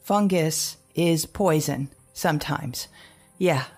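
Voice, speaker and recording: An actress playing an anxious, guilt-ridden mother surviving alone after an apocalypse, in a halting, stammering audio drama monologue with a husky, gravelly voice. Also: low voice, a little mellow, soft background music, full character voice. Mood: uneasy, explaining. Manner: halting, stammering, repeating words.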